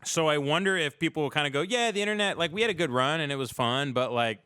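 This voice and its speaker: Man, casual livestream host, informal slangy talk, smooth clear tone, dry close sound. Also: a little high, fast, bright, forceful tone, no echo.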